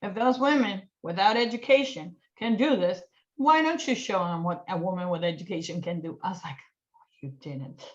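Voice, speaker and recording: Colombian woman in her forties speaking English on a video call. She tells her story in an animated, self-mocking way, and her voice sits in the middle of the usual range. The call audio sounds a little dull and lo-fi. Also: mid-range voice, moderate pace. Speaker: guest. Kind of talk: storytelling in a casual online chat.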